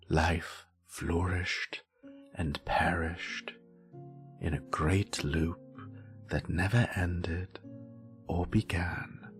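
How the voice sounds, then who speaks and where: A man with a British accent voicing a sassy, grumpy, learned wizard who narrates fantasy lore in a theatrical, deliberate way with breathy drops and wry condescension. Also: low-pitched. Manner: wry, condescending scholarly asides. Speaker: voice actor.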